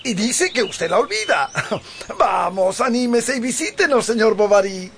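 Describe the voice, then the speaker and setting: A man's voice: extremely high pitch, sing-song, a little slow, fairly bright tone, a little hiss or hum, heavily acted. An actor playing an older farmer, a hearty, coaxing father, in a radio drama. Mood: genial, coaxing. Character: older farmer, genial father urging a widower to visit.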